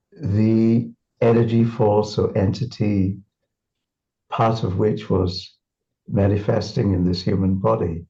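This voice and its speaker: Soft-spoken man from Singapore, dull audio. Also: lowish voice. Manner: thoughtful, repeats words, many fillers. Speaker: interviewee. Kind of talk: reflective interview reminiscence.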